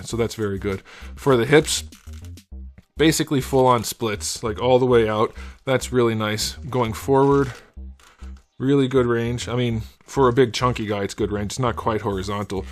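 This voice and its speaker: A man with a hoarse, scratchy voice casually reviewing an action figure, thinking aloud with small fillers. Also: on the low side. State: hoarse, losing his voice. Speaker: toy reviewer.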